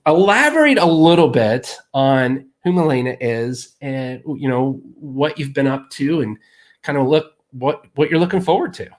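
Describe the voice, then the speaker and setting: A man's voice: mid-range voice, lively intonation, normal speed. A host introducing his guest with admiration, stumbling a little over his words. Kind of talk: casual interview chat.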